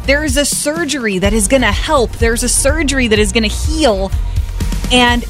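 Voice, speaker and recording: Woman, radio host, persuasive fundraising pitch, higher voice, faint music under. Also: normal speed. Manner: urgent, persuasive.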